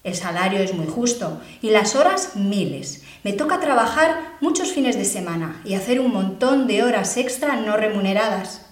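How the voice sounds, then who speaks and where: A woman's voice: medium pitch, lively intonation, natural voice. Brief: actress as anxious twenty-something woman, earnest stage monologue, roomy echo. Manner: earnest, measured storytelling. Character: Valentina, 27, worried precarious young sociologist. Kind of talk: theatrical monologue. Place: university theatre classroom.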